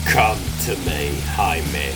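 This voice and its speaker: Villain sorcerer, male: imperious and menacing, very drawn-out pompous lines, music clearly underneath. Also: very deep voice, expressive, full character voice. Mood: coaxing, ominous. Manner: pompous, grandiose, drawn-out commanding delivery. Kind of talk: dramatic comic dub acting. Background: dramatic music.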